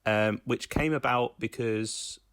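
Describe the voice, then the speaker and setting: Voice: medium pitch, slow pace, fairly bright tone, clear, resonant tone, no room sound. A podcast host chatting casually, feeling out phrases in a conversational way. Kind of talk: casual chat.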